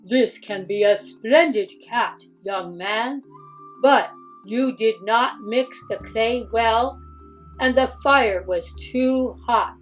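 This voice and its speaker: A woman voicing a sick, wise old male master craftsman in a storybook, advising gently. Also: on the high side, takes it slowly, faint music behind, low-quality recording.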